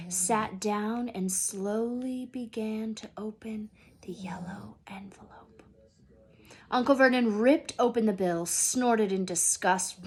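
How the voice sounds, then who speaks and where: A woman gives an expressive read-aloud of a children's story. Her delivery is unhurried, and her medium-pitched voice has a soft husky grain. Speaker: teacher reading aloud.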